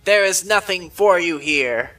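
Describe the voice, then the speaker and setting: A man's voice: sits high, lively intonation, unhurried, bright, forceful tone, full character voice, low-quality recording. A man voicing a menacing robot sentry who gives stern, formal orders in a comic dub. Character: loud, menacing robot sentry guarding a base. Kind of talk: dramatic comic-dub voice acting.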